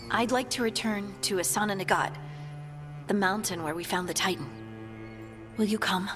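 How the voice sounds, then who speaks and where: An earnest young dwarf woman, played by an actress, speaks in a video game with clear music behind her. She sounds wistful and searching, in a natural conversational way at a middling pitch. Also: normal speed, close to an everyday voice. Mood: subdued. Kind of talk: video game dialogue acting.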